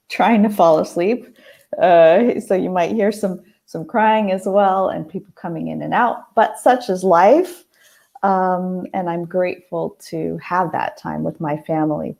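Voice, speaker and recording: A woman hosting a casual heart-to-heart monologue, a little hoarse, talking thoughtfully with many pauses and restarts. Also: sits low, a little mellow. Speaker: host. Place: family house, at night.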